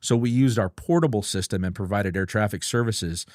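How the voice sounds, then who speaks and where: A man's voice: fairly low pitch, fairly quick, a little mellow, no echo, clean studio sound. An older man, a podcast guest, casually reminiscing and explaining details of his service career in an informal way. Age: 50s or older. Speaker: podcast guest, retired air traffic controller. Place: close-miked dry studio.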